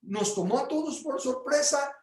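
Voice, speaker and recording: A man teaching his home group about praise in a casual but animated way, jumping into loud exclamations and stumbling over fillers. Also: very high-pitched, moderate pace, reverberant, faint music behind. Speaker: Bible teacher.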